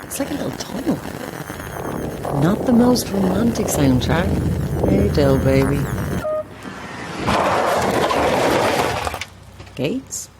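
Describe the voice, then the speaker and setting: A woman's voice: medium pitch, sing-song, unhurried, heavy background noise. A woman playing a flustered, self-dramatizing struggling actress who rambles theatrically to herself in an audio drama. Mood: wry, musing. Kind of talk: modern audio drama acting.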